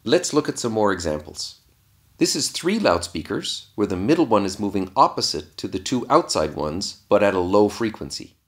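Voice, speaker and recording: A man with a fairly low voice narrates a scripted lesson. His intonation moves widely up and down as he explains. He sounds like an instructor, laying out each point step by step. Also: big pitch swings, moderate pace.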